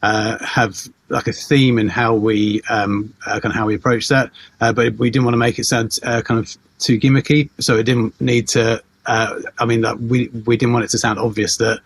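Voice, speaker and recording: Male panel guest, technical type: raspy, even-toned, hesitant, feeling his way through explanations. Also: mid-range voice, dry recording. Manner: hesitant, halting, repeats words. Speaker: audio mixer interviewed on a panel.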